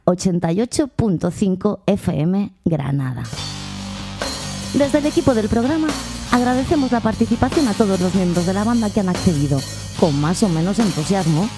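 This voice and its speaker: A woman presenter reading scripted radio narration in a dry, matter-of-fact way. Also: medium pitch.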